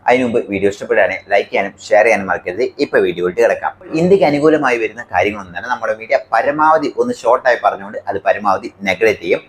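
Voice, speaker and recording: A man from India with a Malayali accent explains the news to viewers as a commentary host, emphatic and point by point. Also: fairly low pitch.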